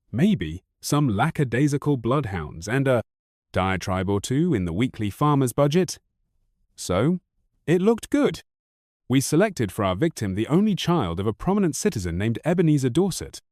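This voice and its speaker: Lively, wry male storyteller. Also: mid-range voice, normal speed, dry recording, clean studio sound. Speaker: audiobook narrator. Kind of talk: expressive storytelling narration.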